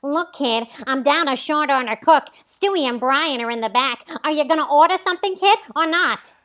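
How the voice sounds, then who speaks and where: A woman's voice: high-pitched, normal speed, fairly bright tone. A woman playing a curt, grumpy 1950s diner counterman in a comedic table read. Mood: gruff, impatient.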